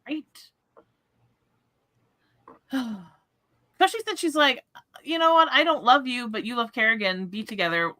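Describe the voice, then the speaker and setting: A woman hosting a casual book discussion livestream, chatting in an animated way. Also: on the low side.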